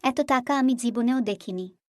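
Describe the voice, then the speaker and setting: A woman voicing an excitable, eager wife of a poor villager in a cartoon. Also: a little high, normal speed, no room sound, studio quality, lightly acted. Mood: overjoyed.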